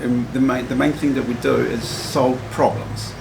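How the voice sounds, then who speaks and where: A man in his forties from Chile, a guest answering interview questions in an office, hesitant and measured with many restarts. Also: mid-range voice, flat delivery, creaky, noisy background. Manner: measured, with frequent hesitations and repeated words. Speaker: immigration adviser guest. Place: small office room, seated at a table.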